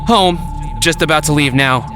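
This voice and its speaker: A man's voice: fairly high pitch, moderate pace, pushed and bright, soft background music. A man playing an easygoing teenage schoolboy in an audio drama, with a casual, understated delivery. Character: teenage schoolboy, easygoing, matter-of-fact, with hidden powers.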